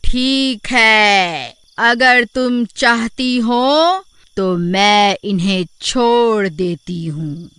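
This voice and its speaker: A woman voicing a vengeful bald witch in a cartoon horror story, with drawn-out, threatening delivery. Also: a little high, a bit bright, clean tone, no room sound, voice pushed up. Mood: grudging mercy. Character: menacing bald female witch, vengeful yet able to relent.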